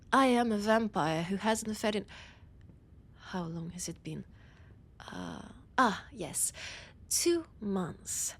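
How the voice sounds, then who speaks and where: A woman with a French accent playing an annoyed, condescending vampire scholar in a roleplay audio drama, often dropping into breathy whispers. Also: medium pitch, exaggerated voice. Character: annoyed, haughty female vampire scholar, ancient and hungry.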